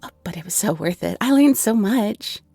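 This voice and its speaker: A woman's voice: mid-range voice, lively intonation, moderate pace, creaky, warm tone, husky, no echo. A woman in her twenties playing a shy, flustered half-fairy tea shop keeper in an audio roleplay, with a nervous, stammering, giggly and apologetic way of talking. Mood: bubbly, flustered. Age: 20s. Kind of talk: scripted audio roleplay, intimate and conversational.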